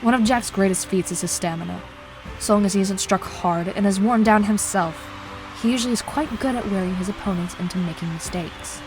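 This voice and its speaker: A woman's voice: medium pitch, fairly quick, music in the background, close to an everyday voice, low-quality recording. A woman playing a frank, competitive young fighter who speaks earnestly in a visual novel drama. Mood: explaining.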